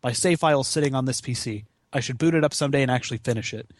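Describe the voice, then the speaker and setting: A man's voice: medium pitch, rapid-fire, dry recording, studio quality. A man in his twenties in casual, rambling gamer chat, swearing freely with slangy, self-deprecating asides. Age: 20s. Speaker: podcast guest, gaming marathon runner. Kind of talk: casual rambling chat about video games.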